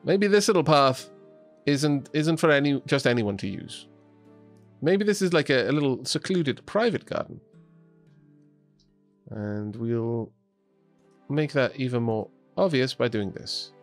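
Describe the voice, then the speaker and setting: A young Welsh man chatting his way through a building game, his voice rising and falling in a lively way as he thinks aloud. Soft music plays faintly underneath him. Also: medium pitch, unhurried, long pauses. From Wales. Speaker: streamer. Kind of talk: casual gameplay commentary. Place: home streaming setup in Scotland.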